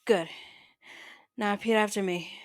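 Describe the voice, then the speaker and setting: A woman playing an older mafia boss in an ASMR roleplay, soft-spoken, possessive and quietly menacing, with a halting, intimate delivery. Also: medium pitch, no room sound, natural voice. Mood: solemn, commanding. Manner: halting, stammering, intimate address to the listener.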